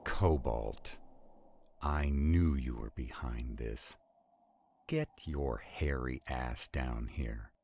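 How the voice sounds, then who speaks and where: A man playing an arrogant, stubborn vampire in a roleplay audio drama, with a deep, gravelly, husky voice and a haughty, deliberate delivery. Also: darkish tone, slight background noise, exaggerated voice. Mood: irritated, demanding. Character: arrogant, stubborn male vampire, irritated and skeptical.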